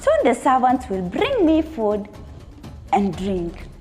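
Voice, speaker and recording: A woman's voice: a little high, huge pitch swings, slow pace, a little mellow, full character voice. A woman storyteller playing a meek, fearful and hungry man who serves as a king's fool. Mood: hopeful. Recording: long hall reverb, music behind.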